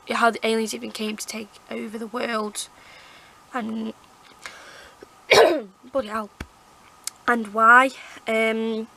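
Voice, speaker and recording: A woman with a croaky, hoarse throat, rambling chattily as she reviews her favourite books to camera. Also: medium pitch, pushed and bright, a little hiss or hum, poor audio. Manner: rambling, chatty, lots of fillers and restarts. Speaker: book vlogger. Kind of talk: casual book review chat. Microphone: camera mic.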